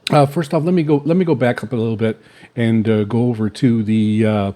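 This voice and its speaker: A man with a mellow, relaxed voice at an average pitch talks casually through a gear review. He speaks without a script, in a halting, meandering way. Hesitations and fillers break up nearly every phrase. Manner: halting, rambling, hesitant with very frequent filler pauses. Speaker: shortwave radio hobbyist reviewing antennas. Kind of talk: unscripted casual product talk.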